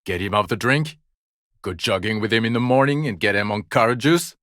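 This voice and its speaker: An actor playing a bitter, weary police detective in a video game, sardonic and exasperated. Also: male voice, fairly low pitch, brisk, a bit bright, no echo, studio quality, lightly acted. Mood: angry, despairing. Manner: sardonic, exasperated, foul-mouthed. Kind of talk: dramatic video game acting.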